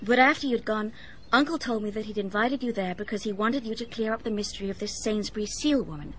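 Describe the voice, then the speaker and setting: A woman's voice: mid-range voice, fast talker, fairly bright tone, slight background noise, lightly acted. An actress playing a self-assured, rich young American woman in a radio drama, direct and confident, with an American accent. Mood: frank, curious.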